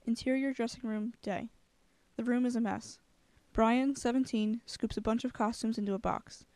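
A woman's voice: a little high, steady tone, moderate pace, darkish tone. A woman giving a scripted dramatic reading of screenplay passages, scene directions and dialogue alike. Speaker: screenplay reader.

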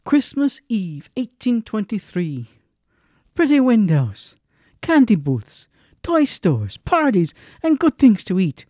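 A man's voice: high-pitched, huge pitch swings, takes it slowly, warm tone, no echo, clean studio sound. A man giving a theatrical, literary narration of a dramatised classic story.

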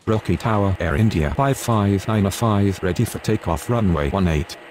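Guy playing airline pilot on the radio in a game, really low voice, clipped procedural calls, bit of background noise. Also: animated, moderate pace, a little mellow, natural voice. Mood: businesslike. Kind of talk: scripted radio phraseology.

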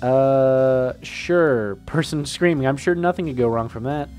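A man's voice: mid-range voice, measured pace, a little mellow, soft background music. A young man with a cold, commenting casually on a game he is playing, reading its text aloud, swearing and laughing as he gets flustered. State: getting over a cold, sniffling. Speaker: gameplay commentator.

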